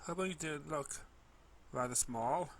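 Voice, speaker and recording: A man narrating a first-person story expressively, with an animated manner and the occasional stumble over words. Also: fairly high pitch, slow pace, gravelly.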